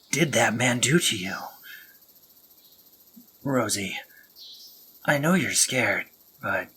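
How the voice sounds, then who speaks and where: Man doing a remorseful spider monster man, low bright tone, slow halting speech, stammers, soft whispers, audio drama. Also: slight background noise, rough recording. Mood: soothing. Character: gentle remorseful male spider monster, young, protective.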